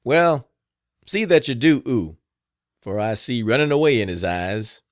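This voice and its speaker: A man's voice: medium pitch, sing-song, a little slow, dry recording, studio quality. A man doing a stern, grumbling old master. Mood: suspicious. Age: older. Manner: grumbling, suspicious warnings.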